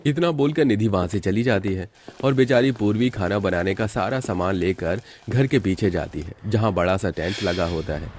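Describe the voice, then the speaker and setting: A man with a low voice narrates an animated family story. His delivery is scripted storytelling, linking the scenes as narrator. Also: moderate pace.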